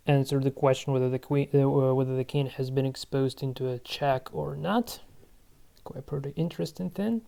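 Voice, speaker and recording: Man, 30s, channel host; dark, mellow tone; halting think-aloud game commentary. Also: a little high, vocal fry. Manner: musing aloud to himself, halting. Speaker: channel host playing online board games.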